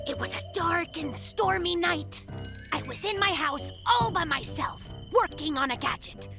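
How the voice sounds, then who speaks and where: An actor voicing a boastful, excitable young boy bear cub in a children's cartoon, with a bragging, dramatic delivery. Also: very high-pitched, a little slow, exaggerated voice. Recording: music behind the voice.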